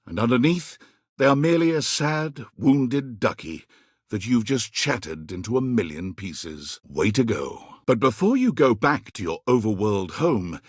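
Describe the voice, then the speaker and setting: A man playing a wry, theatrical video game narrator, husky and measured, with a dryly mocking edge. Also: mid-range voice, very animated, no echo, clean studio sound.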